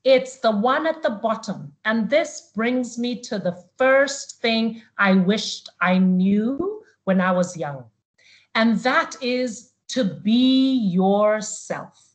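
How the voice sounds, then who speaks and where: A woman's voice: on the high side, expressive, slow. A middle-aged woman from Uganda giving a motivational webinar talk to young people, telling stories and repeating words for emphasis. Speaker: motivational speaker. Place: online video call.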